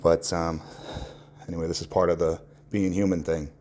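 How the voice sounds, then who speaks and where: A man talking candidly and off the cuff in a reflective, earnest monologue, halting as he searches for words and swearing casually. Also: sits low, flat delivery, a little mellow. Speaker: podcast host.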